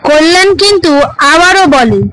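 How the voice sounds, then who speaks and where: A woman's voice: very high voice, lively intonation, moderate pace, fairly bright tone, no echo, poor audio. A woman narrator reading a scripted horror story for a cartoon.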